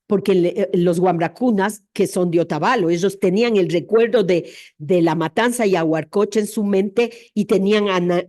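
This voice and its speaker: A woman's voice: medium pitch, a little mellow. Woman giving an academic lecture. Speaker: academic presenter at a history workshop.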